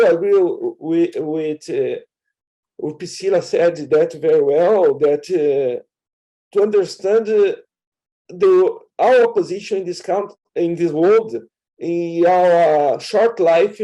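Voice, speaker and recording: A man with an unusually high, mellow voice speaks slowly over a video call. He pauses and restarts often as he works toward his point. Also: rough recording. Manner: halting, searching for words with many restarts. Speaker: panelist. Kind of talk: online panel discussion.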